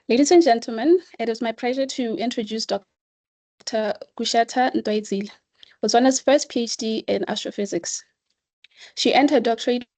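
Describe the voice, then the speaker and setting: A woman's voice: a little high, a little mellow, no room sound. A woman moderating an online webinar, formally reading out a speaker's biography. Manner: formal, reads a biography aloud. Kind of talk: formal introduction.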